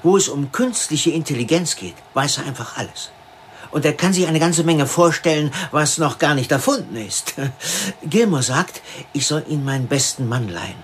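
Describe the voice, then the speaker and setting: An actor playing a blunt, jovial computer crime police chief in a radio play, talking colloquially. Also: male voice, on the high side, animated, moderate pace, slight background noise, lightly acted. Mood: amused, wary. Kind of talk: radio drama dialogue.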